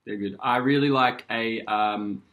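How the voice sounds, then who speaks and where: A man's voice: mid-range voice, unhurried, phone-quality sound. An Australian man in his thirties, a musician guest chatting casually with many fillers while he rambles, recorded indoors by a window on a camera at arm's length. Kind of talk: casual chat.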